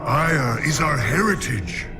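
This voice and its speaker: An actor voicing a proud, devout alien warrior lieutenant with formal, declamatory speech. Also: male voice, on the high side, expressive, takes it slowly. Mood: proud, fervent. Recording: loud dramatic music under the voice. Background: loud music.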